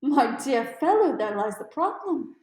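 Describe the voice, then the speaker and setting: A woman's voice: high voice, very animated, unhurried, darkish tone, echoey room. A woman voicing a keen, amused male detective with precise, formal and emphatic speech in a storybook read-aloud. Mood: keen, instructive.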